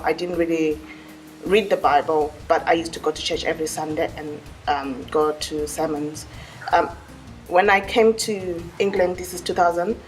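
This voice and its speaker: A woman from Zimbabwe tells her faith story in a calm, measured way. Her voice is on the low side. She sounds a little far from the mic, with faint music underneath. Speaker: church member giving baptism testimony. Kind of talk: personal testimony.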